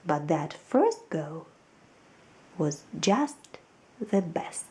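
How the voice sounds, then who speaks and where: A woman narrating a children's storybook aloud in an expressive reading style. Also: sits low, extremely slow. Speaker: storybook reader.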